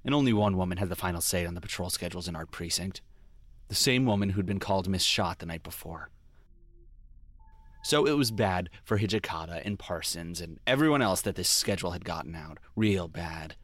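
Low, dry-voiced brooding noir detective. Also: male voice, expressive, brisk, fairly bright tone, no echo. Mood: tense, reflective. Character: brooding male police detective recalling his past mistakes. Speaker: lead character and narrator. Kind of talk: noir detective audio drama narration.

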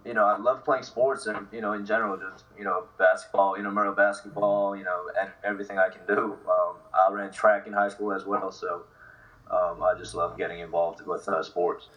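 A man's voice: deep voice, little pitch movement, a little fast, lo-fi sound. A man in his twenties from South Korea and New Jersey, giving casual, easygoing answers in an interview. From South Korea, then New Jersey from sixth grade. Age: 20s. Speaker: interview guest, football kicker. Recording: voice distant from the mic.

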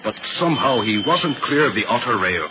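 A man's voice: mid-range voice, big pitch swings, a little fast, music in the background, lightly acted. Man playing a respectful railway workman, muffled recording. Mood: grave. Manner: deferential, matter-of-fact. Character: plain, respectful railway workman reporting grim news. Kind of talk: 1940s radio drama acting. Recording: muffled old radio recording.

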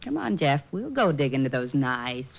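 A playful, gently bossy girlfriend in a vintage radio drama. Her voice is very lively in pitch, expressive and coy. The sound is dull, like an old broadcast. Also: normal speed, creaky, exaggerated voice. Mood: coy, teasing. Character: playful, teasing girlfriend of a detective, warmly bossy. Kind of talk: 1940s radio acting.

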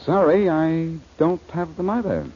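A man acting a quick-witted, unflappable amateur sleuth in 1940s radio style, glib, wry and commanding, on an old radio recording. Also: a little high, slightly rough. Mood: cool, wry. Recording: dull, muffled old-time radio recording.

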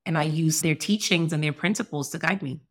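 A middle-aged woman from Sierra Leone talks as a podcast guest. Her voice sits fairly low. She speaks in an earnest, reflective way. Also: a little fast. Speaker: guest, lawyer and entrepreneur. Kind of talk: podcast interview.

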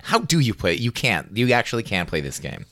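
A man's voice: mid-range voice, big pitch swings, fast, a bit bright, no room sound, clean studio sound. A man with a somewhat nasal voice, co-hosting a podcast and informally explaining board game rules, with a teasing streak. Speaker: podcast co-host.

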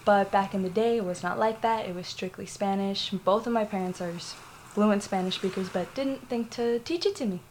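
A woman's voice: medium pitch, normal speed, darkish tone, a little hiss or hum, low-quality recording. A young woman from Florida with a Puerto Rican family, telling a personal story in a candid, rambling way, full of fillers and laughs. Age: young adult. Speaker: interviewee.

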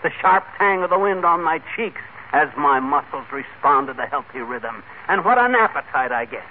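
An actor playing a folksy, boastful, tall-tale-telling husband in 1940s radio comedy. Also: male voice, on the high side, lively intonation, normal speed, lightly acted. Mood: grandiose relish. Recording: noisy tinny vintage radio broadcast.

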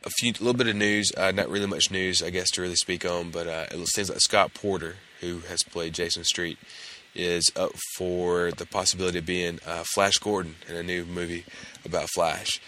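A male podcast host recapping a TV episode in a casual, hesitant way, his voice hoarse and gravelly from a cold. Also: deep voice, steady tone, moderate pace, sharp tone, a little hiss or hum. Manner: hesitant, many fillers and restarts.

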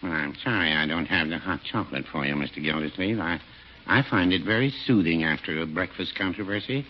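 An American actor playing a mild, folksy older small-town druggist with dry, deferential delivery in a 1940s radio comedy. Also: male voice, low voice, brisk, natural voice. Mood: mildly apologetic. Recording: muffled, noisy 1940s radio recording.

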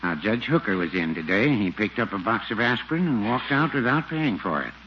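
A mild small-town druggist acted by a man for an old radio comedy. He is dry, folksy and gently contrary, with a pitch that rises and dips freely. The sound is a dull 1940s recording with faint noise. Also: fairly quick, natural voice. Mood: dryly confiding. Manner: dry, deadpan, mildly contrary.

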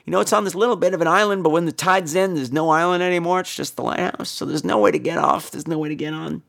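A man hosting a podcast in a campy, irreverent, rambling way, his voice hoarse from laryngitis. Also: fairly high pitch, fast, a bit bright. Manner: campy, irreverent, crude joking. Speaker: podcast host. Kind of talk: rambling comic casual chat.

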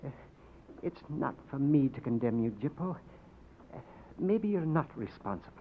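A timid witness, played by a man in a 1940s radio drama, stammering meekly in a medium-pitched voice. The recording is thin and tinny with faint noise. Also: very animated, close to an everyday voice. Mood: nervous, meek. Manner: halting, meek, stammering. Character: timid, frightened, pious Irish male witness. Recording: thin, noisy old radio broadcast.

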